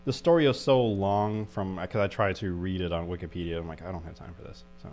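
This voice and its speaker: A man's voice: low voice, fairly even intonation, fairly quick, a little mellow. A man co-hosting a podcast in casual chat, hesitant with many fillers and restarts. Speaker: podcast co-host. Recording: faint mains hum.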